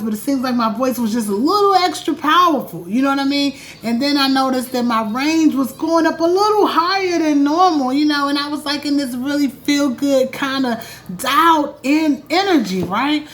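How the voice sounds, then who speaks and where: A woman talking casually to her viewers about astrology from her home, chatty and animated, calling them y'all. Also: sits high, normal speed, slight background noise. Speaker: astrologer and vlog host. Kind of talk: casual spiritual vlog talk.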